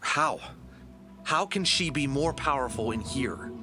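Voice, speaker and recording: A man's voice: medium pitch, a little slow, soft background music. A man acting in an audio drama as a plucky, sarcastic adventurer who is wary, casual and easily flustered. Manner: wisecracking, excitable, casual.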